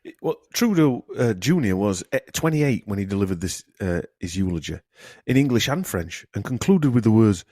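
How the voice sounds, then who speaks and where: A man with a husky, slightly breathy voice hosts a casual podcast conversation and speaks emphatically, sure of his opinions. The recording is dry and close-miked, with no room sound at all. His pitch sits a little low. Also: animated, moderate pace, hardly any pauses, darkish tone, no echo. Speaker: podcast host. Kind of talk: casual conspiracy chat.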